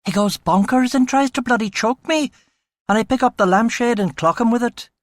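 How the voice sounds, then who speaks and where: A feisty young West Belfast woman, put on by a male narrator. The voice is pitched well up and blunt, with a harsh, indignant edge, as a character in a crime audiobook. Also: normal speed, no room sound. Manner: blunt, breathless storytelling, harsh.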